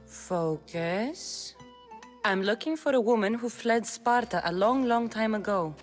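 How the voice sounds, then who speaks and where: A tough mercenary warrior woman in a video game, dry and blunt. Her mid-pitched voice still moves with lively inflection, with faint music underneath. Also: unhurried. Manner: dry, blunt, matter-of-fact. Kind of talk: video game cutscene acting.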